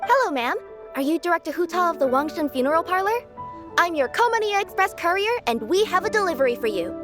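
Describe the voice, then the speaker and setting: A woman's voice: very high voice, very animated, fast. A woman voicing an eager, polite young cat-spirit courier with a perky manner in a video game. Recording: music playing behind the voice.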